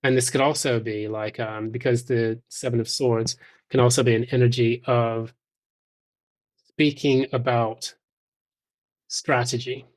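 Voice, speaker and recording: A man giving an intuitive tarot reading straight to the listener, speaking in a measured, halting way with thoughtful pauses. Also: medium pitch, steady tone. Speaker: tarot reader.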